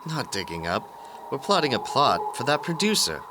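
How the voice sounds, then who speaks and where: Man acting as undead horror host, vengeful schemer, swooping theatrical delivery, campy comedy, loud outdoor noise. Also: mid-range voice, big pitch swings, normal speed, lightly acted. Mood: scheming. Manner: theatrical, scheming, formal phrasing.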